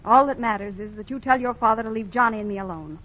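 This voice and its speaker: Actress as a defiant young mother, slightly high voice, old radio sound. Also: quick pace, natural voice. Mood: hurt. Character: spirited young woman guarding her baby. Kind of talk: 1940s radio acting. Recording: dull old radio broadcast.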